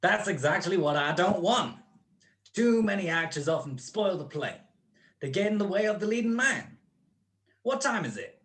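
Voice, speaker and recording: A man's voice: sits high, animated, moderate pace, a bit bright. A man acting a smug, cold-blooded criminal mastermind in a radio play, with precise, mocking, grandiloquent speech. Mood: commanding, pleased.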